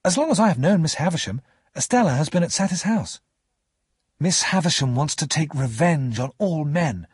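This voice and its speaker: A man voicing a frank young gentleman in a storybook, explaining things earnestly and deliberately. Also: a little high, lively intonation, husky, no echo, clean studio sound, voice pushed up.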